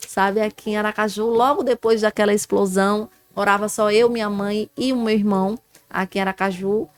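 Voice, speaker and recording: A woman's voice: mid-range voice, fairly even intonation, a little fast. A woman in her forties from Alagoas with a Northeastern Brazilian accent, earnestly telling her life story as a podcast guest. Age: 40s. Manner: earnest, devout, with small restarts. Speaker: guest, former councilwoman and activist.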